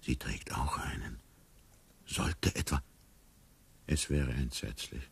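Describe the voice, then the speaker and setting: An actor playing a bewildered amnesiac man in a radio play, musing inwardly in a hushed, wryly detached way. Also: extremely low pitch, takes it slowly, vocal fry, slightly rough, full character voice. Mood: wry unease. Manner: hushed, musing, often near whispering. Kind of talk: radio play inner monologue.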